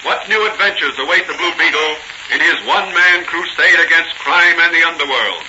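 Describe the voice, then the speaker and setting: A man's voice: on the high side, brisk, bright, forceful tone, slight background noise. A man announcing a 1940s radio serial, reading scripted narration in a declamatory way. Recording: dull 1940 radio recording. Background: music.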